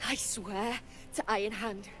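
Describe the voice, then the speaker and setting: A woman playing a fierce, defiant gnome in a video game, shrill and threatening. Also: fairly even intonation, unhurried, a bit bright, slight background noise, close to an everyday voice. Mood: frantic threat. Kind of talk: video game character acting.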